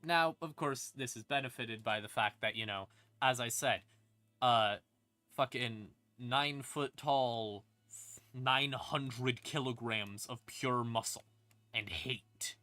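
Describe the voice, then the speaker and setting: Male lore streamer, mid-pitched and sharp-edged, casual and sardonic, faint ambient music underneath. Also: takes it slowly, no echo. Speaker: streamer explaining game lore. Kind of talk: casual, rambling lore explanation. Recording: music playing behind the voice. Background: creepy ambient music.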